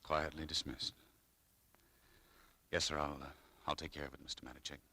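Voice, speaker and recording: Low-voiced, hesitant shop manager. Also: male voice, level tone, breathy edge, exaggerated voice. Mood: deferential. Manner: halting, gentle, earnest. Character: mild, considerate newly promoted male shop manager, hesitant. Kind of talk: 1940s radio acting. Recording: noisy old 1940s radio recording.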